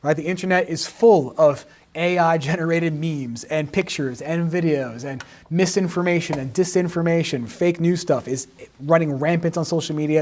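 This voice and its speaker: Hoarse forties man teaching a review livestream. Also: a little high, darkish tone. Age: 40s. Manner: explanatory, peppers sentences with "right?". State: slightly hoarse after a teaching day. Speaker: history teacher. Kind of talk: livestream exam review lesson. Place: home in the suburbs.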